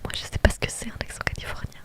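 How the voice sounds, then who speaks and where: A woman whispering in a breathy ASMR style as she plays a story game, with playful, teasing asides to her viewers. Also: very fast. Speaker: streamer reading and reacting to a dating story game. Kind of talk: whispered ASMR game playthrough with live commentary.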